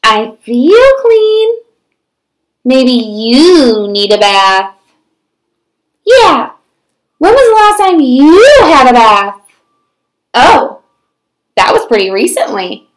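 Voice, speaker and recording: A woman's voice: high voice, sing-song, drawn-out words, pauses often, heavily acted, poor audio. A woman voicing a stubborn, dramatic pigeon in a storybook read-aloud, with exaggerated, theatrical delivery. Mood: defensive, indignant. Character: stubborn, dramatic cartoon pigeon avoiding a bath.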